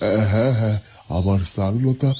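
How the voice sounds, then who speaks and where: A man's voice: on the low side, normal speed, lightly acted. An actor playing a simple, puzzled father in a fairy-tale cartoon, hesitantly musing aloud. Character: puzzled, simple father, kindly and muttering to himself. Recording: clear background noise with birdsong.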